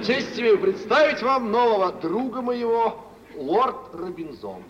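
An actor playing a jovial, worldly merchant prankster with showy mock ceremony, in old Russian stage-comedy style. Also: male voice, high-pitched, big pitch swings, measured pace, distant mic. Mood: mock ceremonious. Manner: theatrical, playfully ceremonious. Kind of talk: 1940s Russian stage comedy acting for radio. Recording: noisy, muffled mid-century radio play recording.

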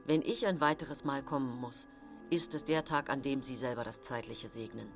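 A woman voicing a grim, blunt male doctor who speaks gravely and in clipped phrases in a radio drama. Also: a bass voice, normal speed, lo-fi sound, faint music behind, voice pushed down. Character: grim, blunt male country doctor delivering bad news.

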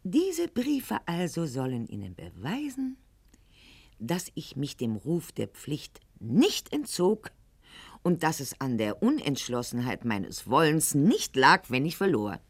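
An actress playing a proud, aging aristocratic wife at court in a radio play, speaking formally and precisely, with haughty theatrical declamation. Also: female voice, low-pitched, sing-song, slow pace, husky, heavily acted. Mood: proud, self-justifying.

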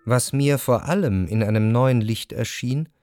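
An actor playing a jealous, brooding gentleman narrator in a literary radio drama, introspective and measured. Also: medium pitch, faint music behind, close to an everyday voice. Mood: brooding, anxious. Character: jealous, brooding gentleman recalling suspicions in love.

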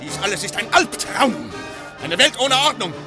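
Grim fugitive officer, radio play, declamatory, strikingly high voice. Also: male voice, sing-song, moderate pace, over music. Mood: bitter. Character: Radcliffe, grim, lucid policeman on the run. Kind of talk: German radio play acting.

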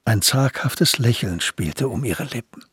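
A man's voice: medium pitch, lively intonation, measured pace, fairly bright tone, slightly rough, dry recording, clean studio sound. A man giving scripted narration for a historical crime radio play.

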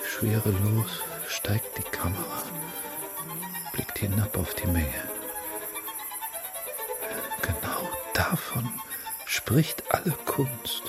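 An actor playing a rapt visionary in a dramatic radio monologue, describing an imagined film scene. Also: male voice, fairly low pitch, animated, slow pace, long pauses. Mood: rapt, fervent. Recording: loud music under the voice.

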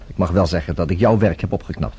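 Brisk, husky, sceptical man-about-town. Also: sits low, mellow. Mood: confiding. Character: sceptical, urbane bachelor friend Bill, a working journalist. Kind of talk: Dutch radio play acting.

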